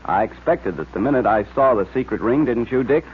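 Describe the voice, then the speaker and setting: A man's voice: mid-range voice, lively intonation, a little fast. An American man announcing in a polished, emphatic 1940s radio advertising and narration style. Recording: scratchy old radio broadcast, narrow band, hiss.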